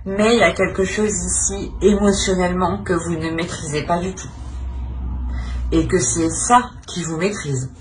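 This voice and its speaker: A woman with a fairly low voice gives an off-the-cuff tarot reading, speaking directly to the viewer. She circles back over her phrases and often adds small tag questions. There is faint noise behind her voice. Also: steady tone, measured pace, poor audio. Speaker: tarot reader, channel host.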